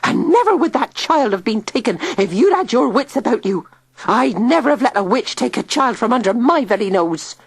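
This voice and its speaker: A woman reader voicing Tilly, a shrill old woman who screeches and scolds. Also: expressive, moderate pace, slightly rough, no room sound. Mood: shrill scolding. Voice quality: shrieking, scolding.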